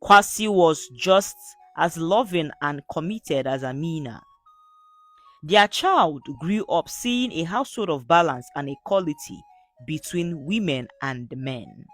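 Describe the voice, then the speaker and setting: A woman narrates a folktale as a storyteller reading from a script. Her voice sits a little low and moves with very animated intonation. She takes her time, earnest and measured in her delivery. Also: soft background music.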